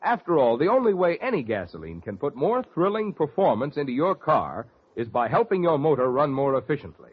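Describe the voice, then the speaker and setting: A male radio announcer delivering a polished, emphatic commercial pitch in 1940s style. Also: very animated, brisk, few pauses, slightly rough. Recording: dull vintage radio recording.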